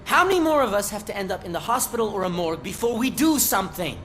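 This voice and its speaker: An actress playing an outspoken, confrontational police officer in a video game, speaking with heavy emphasis. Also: female voice, medium pitch, big pitch swings, fast talker, a bit bright, faint music behind. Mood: angry.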